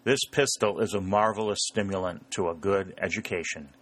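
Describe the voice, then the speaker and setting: A man voicing a brash young rebel soldier in an audiobook, sharp, commanding and wry. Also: deep voice, steady tone, normal speed. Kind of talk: audiobook character voice.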